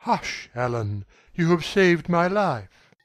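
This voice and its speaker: A man voicing a young gentleman who speaks rapidly and with authority, at times pleading. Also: on the high side, big pitch swings, no room sound, pitched above the performer's own voice. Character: young gentleman, commanding yet anguished.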